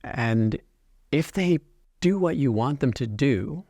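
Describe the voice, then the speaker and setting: A man giving an explanatory talk as a company founder and designer, speaking in measured, deliberate phrases with pauses. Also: mid-range voice, lively intonation, dark tone, dry recording. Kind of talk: explanatory product talk to camera.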